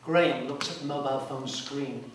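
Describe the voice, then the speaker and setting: A man narrating a scripted dramatic story live, in a measured, deliberate way. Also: medium pitch, steady tone. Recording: distant, very echoey hall, clear noise.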